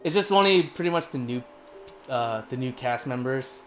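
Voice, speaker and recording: A man's voice: medium pitch, lively intonation, normal speed. Casual male streamer chatting over gameplay, filler-heavy and loose, with game music faint behind. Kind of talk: casual commentary while playing a game. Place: hot room at home with a fan running.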